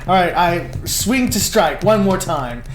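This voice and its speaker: A man's voice: high-pitched, lively intonation, measured pace. A man playing a tabletop roleplaying game, casually stating his character's actions and his dice results. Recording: roomy echo, music behind.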